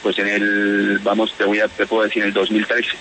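A man's voice: on the low side, monotone, a little fast, sharp tone, slight background noise, low-quality recording. Spanish-born man with a Bogotá accent. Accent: Bogotá Colombian Spanish. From Spain. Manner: hesitant, repeats words, restarts. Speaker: interviewee, whistleblower. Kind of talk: phone interview on radio.

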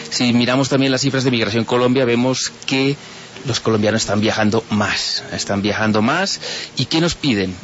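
A man with a Catalan accent, a trade and tourism delegate, answering interview questions in a measured, informative way with frequent hesitations. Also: medium pitch, a bit bright. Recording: muffled radio broadcast.

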